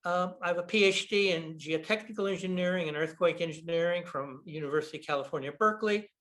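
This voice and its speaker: An older American man giving a slide presentation over a video call, speaking deliberately with frequent pauses and fillers. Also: on the high side, fairly bright tone. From United States. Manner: deliberate, with frequent ums and uhs. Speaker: guest speaker, bookshop owner.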